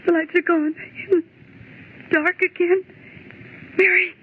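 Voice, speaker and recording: An actress playing a terrified young typist trapped in the dark, halting and overwrought, in a vintage radio horror play. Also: female voice, very high voice, flat delivery. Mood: frightened, dazed. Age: young adult. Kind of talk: 1940s radio horror acting. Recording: tinny, hissy old radio recording.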